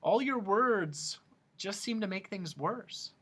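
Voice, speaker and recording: A man voicing a polite, puzzled young boy who speaks softly. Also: high voice, animated, takes it slowly, breathy edge, voice pushed up, heavily acted. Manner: soft, polite.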